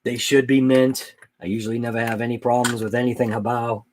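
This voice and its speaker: A man's voice: mid-range voice, flat delivery, a little fast, a little mellow, a little hiss or hum. A man hosting a casual late-night livestream about RC cars, thinking aloud and answering live chat. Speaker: RC hobby channel host.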